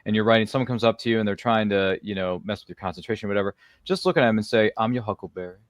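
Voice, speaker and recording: A man's voice: fairly low pitch, very fast, no echo, studio quality. A man hosting a casual roundtable, chatty and jokey, drifting into slangy asides. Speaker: host. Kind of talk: casual roundtable hosting, reading viewer comments.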